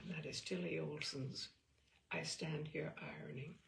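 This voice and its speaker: A woman's voice: low voice, fairly even intonation, moderate pace, vocal fry, slight background noise. A woman hosting a story-reading show, talking casually to listeners in an effusive, affectionate, rambling manner.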